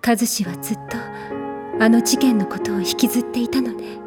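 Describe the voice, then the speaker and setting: Soft, regretful big sister played by an actress. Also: female voice, fairly high pitch, brisk, music in the background, close to an everyday voice. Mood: regretful, worried. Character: sorrowful, caring older sister of a schoolboy. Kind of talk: audio drama monologue in dialogue.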